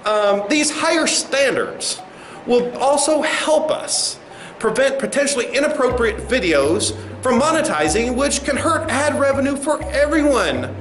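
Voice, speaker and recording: Smug male company spokesman, played by a comic actor, high voice, condescending and halting, roomy echo. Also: big pitch swings, fairly bright tone, slight background noise, full character voice. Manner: condescending, halting with mock-official filler. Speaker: corporate spokesman in a comedy sketch. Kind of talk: satirical press conference acting.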